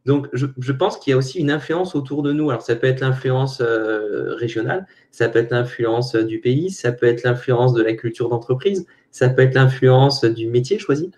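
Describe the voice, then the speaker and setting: A man's voice: medium pitch, normal speed, hardly any pauses, a little mellow. Thoughtful man explaining with examples. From Brittany. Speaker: coach, panel guest. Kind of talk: casual panel discussion.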